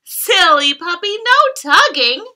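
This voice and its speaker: A woman reading the lines of a cheerful, caring little girl in a gentle, sing-song storybook way. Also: very high voice, big pitch swings, slow pace, pitched above the performer's own voice, exaggerated voice.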